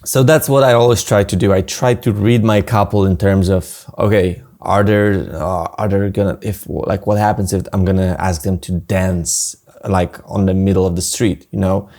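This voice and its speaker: A man's voice: deep voice, steady tone, normal speed, hardly any pauses, a little mellow. A man with a Polish accent, a wedding photographer guest chatting casually, rambling with many fillers and restarts. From Poland. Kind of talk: casual interview chat.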